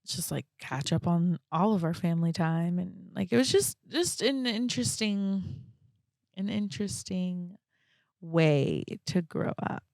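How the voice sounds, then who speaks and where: A young woman talking reflectively and casually as a podcast guest, halting often and peppering her speech with "like". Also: on the low side, animated, gravelly, a little mellow, no room sound, studio quality. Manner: halting, frequent "like" as verbal filler.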